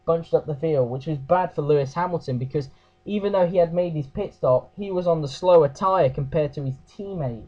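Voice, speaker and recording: A man with a croaky voice giving a casual race recap, hesitant, with fillers and self-corrections. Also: on the high side, darkish tone, lo-fi sound. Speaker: race review host.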